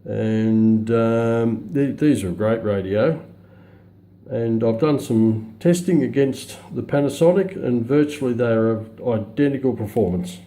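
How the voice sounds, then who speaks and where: A middle-aged man giving an unscripted product demonstration in a halting, matter-of-fact way. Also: medium pitch, mellow, roomy sound. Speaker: presenter of a radio review. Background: shortwave radio broadcast playing.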